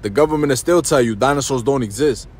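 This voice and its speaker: A man's voice: medium pitch, fast talker, a little mellow, slightly rough. A man giving a casual, mock-paranoid conspiracy rant.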